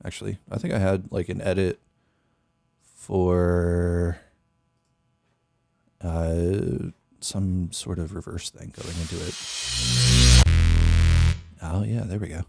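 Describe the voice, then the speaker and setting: Young male streamer, very low voice, rambling casual delivery, dry close mic. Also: flat delivery, takes it slowly, creaky, long pauses, dark tone, no room sound, soft background music. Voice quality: very deep voice. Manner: meandering, thinks aloud with many fillers. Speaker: music producer streamer. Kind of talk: casual live-stream talk. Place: small bedroom studio. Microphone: studio mic on boom arm, headphones.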